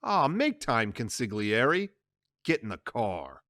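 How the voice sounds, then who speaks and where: A man voicing a smooth, menacing male gangster who pushes people around. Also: medium pitch, sing-song, slow pace, a bit bright, dry recording, studio quality.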